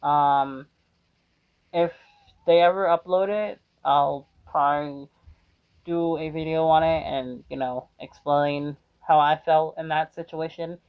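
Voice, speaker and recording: A man's voice: on the high side, fairly even intonation, slow, smooth. A man casually rambling through his reaction with lots of hesitation and fillers. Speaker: reaction video host. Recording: dull lo-fi mic.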